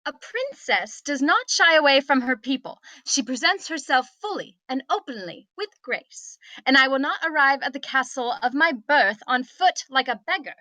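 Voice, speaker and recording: Haughty young-royal princess, acted by a woman, high-pitched, forceful, prim diction, imperious, dry close sound, table-read performance. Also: animated, moderate pace, few pauses, dry recording. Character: haughty, entitled princess, proud and petulant. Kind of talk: acting in a film script table read.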